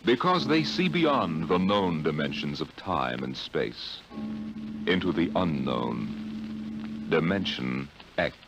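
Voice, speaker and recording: A deep-voiced man announces in the grand manner of 1950s radio. He takes his time, and his voice swoops widely between low and high as he builds suspense. Loud dramatic music plays behind him. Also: sing-song, low-quality recording. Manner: slow, booming, theatrical delivery.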